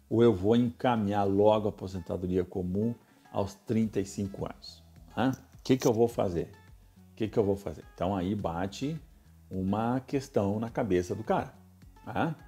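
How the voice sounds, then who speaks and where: Low, mellow Brazilian man explaining. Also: husky. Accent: Brazilian Portuguese. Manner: repeats himself, frequent "né?" and "legal?" check-ins. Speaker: social security lawyer hosting a podcast. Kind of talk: explanatory radio-style talk.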